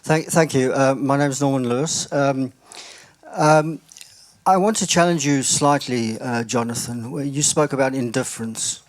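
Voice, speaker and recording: A man's voice: medium pitch, dry recording. Earnest man, dry sound. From South Africa. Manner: earnest, halting with repeated words. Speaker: audience member. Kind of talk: public comment at a symposium.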